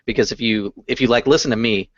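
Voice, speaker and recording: A man's voice: mid-range voice, steady tone, quick pace, no echo. A man from California with a mid-range voice explains his points steadily. He is chatting on a video hangout. The sound is dry and dull. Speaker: game master panelist. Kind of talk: casual chat on a video hangout. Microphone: video call microphone. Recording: dull, dry call audio.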